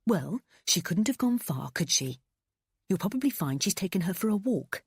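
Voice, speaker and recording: A woman's voice: mid-range voice, sing-song, moderate pace, darkish tone, breathy edge, no echo, studio quality, heavily acted. A woman voicing an impatient young husband who snaps and dismisses. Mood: curt. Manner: snapping, dismissive.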